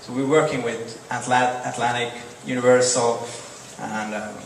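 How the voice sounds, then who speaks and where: Young Finnish-accented man, halting Q&A answers, distant podium mic in a reverberant hall. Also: medium pitch, fairly even intonation. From Finland. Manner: halting, repeats words, short answers. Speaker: startup presenter. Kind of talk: Q&A after a product demo. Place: large dark event hall on stage. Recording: echoey hall, distant mic, faint noise.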